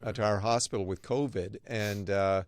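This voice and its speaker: A man hosting an interview podcast, speaking in a measured way with hesitant restarts. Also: on the low side, steady tone, no echo. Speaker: podcast host; medical school dean.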